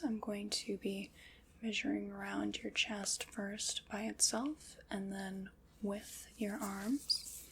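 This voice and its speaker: A woman voicing a calm, methodical skeleton armorer, whispering polite step-by-step instructions in an ASMR roleplay. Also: a little high, gravelly, slight background noise.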